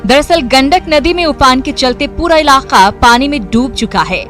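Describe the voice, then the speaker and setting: A woman's voice: high-pitched, animated, moderate pace, over music. A woman reading formal scripted news narration as a voice-over reporter.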